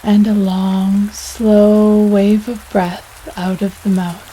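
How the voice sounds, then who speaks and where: Woman doing a guided meditation, really slow and soothing, soft mellow tone, rain going in the background. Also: mid-range voice, a little hiss or hum, rough recording. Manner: soothing, unhurried, pausing between phrases. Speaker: yoga nidra guide. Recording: steady rain sounds over voice. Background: rain sounds.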